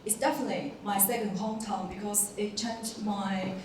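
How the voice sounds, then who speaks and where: A woman's voice: mid-range voice, steady tone, normal speed. A woman in her thirties from China, a doctoral student introducing herself on a panel in a friendly, joking and slightly nervous way. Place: large conference hall. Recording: echoey hall, distant mic, some noise.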